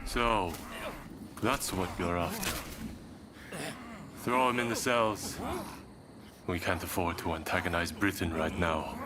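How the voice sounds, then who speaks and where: Low-voiced, aloof samurai lord. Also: male voice, steady tone, takes it slowly, long pauses, noisy background. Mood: dismissive. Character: aloof, proud male Japanese lord, dismissive and commanding. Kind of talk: video game cutscene acting.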